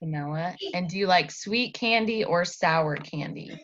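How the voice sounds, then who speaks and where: A woman's voice: on the low side, measured pace, a bit bright. A woman teaching a child one-on-one over a video call, chatting casually and encouragingly and echoing back answers. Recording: narrow-band video call sound, faint noise.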